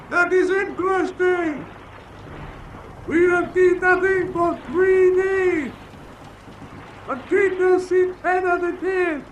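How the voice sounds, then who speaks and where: Brusque german u-boat commander, really high strained shouting, slow, radio drama. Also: male voice, slight background noise, exaggerated voice, low-quality recording. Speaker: actor.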